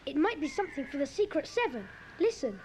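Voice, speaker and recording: A woman playing an eager, adventurous schoolboy in a children's audio drama, earnest and excitable, on a noisy cassette recording. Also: sits high, fast. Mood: breathless, excited.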